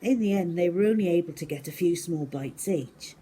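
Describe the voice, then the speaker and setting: A woman telling a story to children in an expressive, emphatic way, addressing young listeners directly. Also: fairly low pitch, fairly quick, slightly rough.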